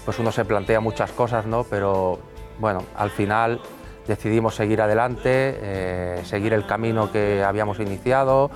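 Male band member, low pitch, stumbling and repeating himself, faint music under. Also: steady tone, normal speed. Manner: hesitant, many fillers and repeated words. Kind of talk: interview answers.

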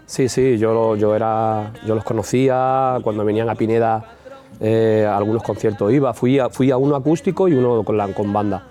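A man's voice: on the low side, fairly even intonation, normal speed, dark tone, faint music behind. A man from Spain, a singer, talking through his story in a reflective, rambling way with frequent restarts. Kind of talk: interview answers.

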